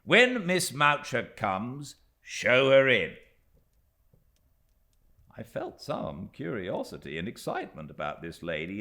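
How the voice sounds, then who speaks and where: A British man narrating classic fiction in an expressive, deliberate way, with dramatic pauses. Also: on the low side. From Britain. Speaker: audiobook narrator. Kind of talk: scripted literary narration.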